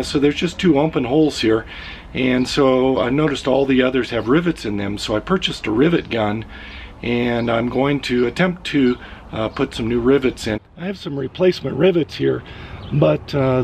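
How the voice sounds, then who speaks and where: A middle-aged man speaks in a mid-pitched voice that is raspy and hoarse, with a gravelly fry running through it. He thinks aloud at an easy pace. Faint background noise sits under his voice, recorded on a camera mic inside a van. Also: darkish tone. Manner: unhurried, thinking aloud with fillers. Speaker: vlogger. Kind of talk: casual vlog narration while working.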